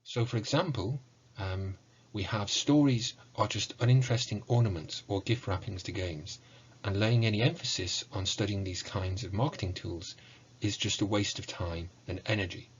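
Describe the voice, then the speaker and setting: A middle-aged man giving a prepared online lecture in a measured, formal, explanatory way. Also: lowish voice, slight background noise. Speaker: university lecturer in music. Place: small indoor room with bookshelves. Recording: muffled, dull online recording.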